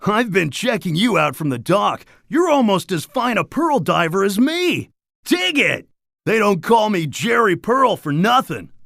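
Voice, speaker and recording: An actor playing a laid-back, boastful surfer dude creature in a cartoon game, talking in upbeat beach slang. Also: male voice, high-pitched, very animated, measured pace, gravelly, fairly bright tone, no echo, clean studio sound, full character voice. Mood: cool, playful. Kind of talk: animated game character dialogue.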